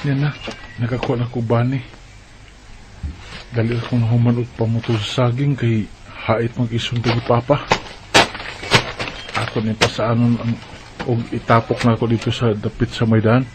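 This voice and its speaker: A man's voice: mid-range voice, little pitch movement, moderate pace, pauses often, close to an everyday voice. A man playing a dutiful, earnest young farm son in a radio drama, speaking Bisaya with a Cebuano accent. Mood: quiet, busy. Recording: noisy amateur recording with clicks.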